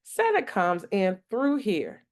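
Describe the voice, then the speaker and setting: A woman voicing a gentle mother in a picture book, patiently explaining and soothing. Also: medium pitch, wildly swooping pitch, slow, exaggerated voice. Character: gentle, reassuring mother explaining magic to her daughter.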